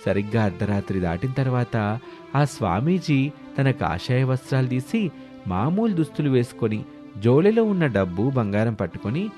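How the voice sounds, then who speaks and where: A man's voice: mid-range voice, very animated, moderate pace, warm tone, faint music behind. A man telling a scripted moral tale as storyteller.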